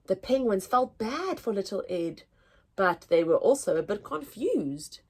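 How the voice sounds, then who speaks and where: A woman's voice: mid-range voice, very animated, a little slow, a little mellow, breathy edge. A woman storyteller reading a children's storybook aloud, expressively, with a sing-song rhyming delivery.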